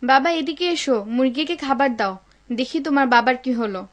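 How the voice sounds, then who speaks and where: A woman's voice: sits high, moderate pace, a little hiss or hum, close to an everyday voice. A woman playing a devout, caring, cautious wife and mother in a cartoon. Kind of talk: dubbed cartoon acting.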